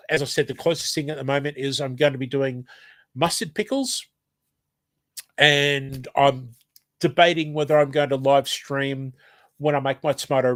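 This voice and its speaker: A man's voice: a little high, fairly bright tone, no room sound. An Australian man with hay fever and a blocked nose, casually reading a live stream chat aloud, hesitant and apologetic, with lots of ums.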